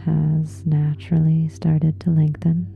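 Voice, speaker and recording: A woman softly guides a relaxation meditation in a breathy, soothing hush. Her delivery is very even and slow, with long pauses between short phrases. The sound is slightly dull and muffled. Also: low voice. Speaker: yoga nidra meditation guide. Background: soft meditation music with singing bowls.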